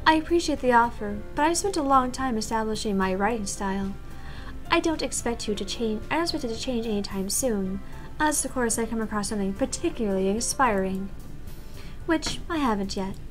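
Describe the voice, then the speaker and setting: Visual novel schoolgirl, played by a woman: prim poet, highish voice, hesitant, stammers when rattled, faint music behind. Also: expressive, fairly quick, lightly acted. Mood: prim, defensive. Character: prim, shy, easily flustered high-school girl poet. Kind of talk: visual novel voice-over read aloud.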